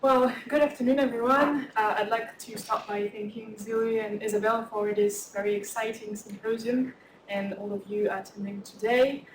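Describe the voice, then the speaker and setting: A woman with a French accent presents her research paper in careful, measured English. She is picked up from a distance in a reverberant lecture room. A steady noise sits under her voice. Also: on the high side. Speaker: conference speaker. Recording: echoey lecture hall, distant mic, noisy.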